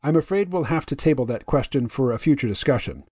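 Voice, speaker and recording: A deep-voiced man hosting a podcast, reading his side of a dialogue in a measured, analytical way. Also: expressive, dark tone, slightly rough, dry recording, clean studio sound. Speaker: podcast host.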